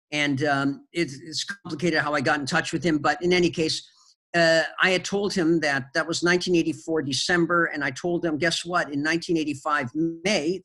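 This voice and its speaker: A man with a fairly high voice for a man, answering interview questions. He talks in halting runs full of fillers and restarts as he recalls events. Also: fairly bright tone. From Canada. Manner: hesitant, many fillers and restarts. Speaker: interview guest, film producer. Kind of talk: conversational interview storytelling.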